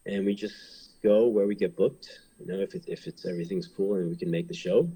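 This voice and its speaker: A man's voice: sits low, fairly quick, warm tone, breathy edge. A man in his thirties from Hong Kong, a pro wrestler, talking casually in an interview and rambling with many fillers and restarts.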